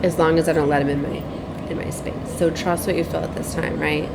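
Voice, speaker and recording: A woman with a lowish voice talks casually, as in a personal spiritual vlog. She rambles and repeats herself, tagging phrases with little checks for agreement. Clear ambient noise with a vehicle-like rumble runs behind her. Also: level tone, fairly quick. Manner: rambling, repetitive, frequently seeks agreement with tag questions. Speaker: spiritual advisor and tarot reader, host.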